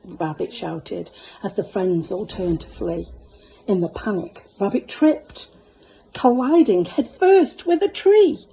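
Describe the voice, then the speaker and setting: A woman tells a children's story dramatically, slowly and with a very animated melody. Her tone is mellow, over faint background ambience. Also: mid-range voice, gravelly, slight background noise, poor audio. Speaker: school staff member, storyteller.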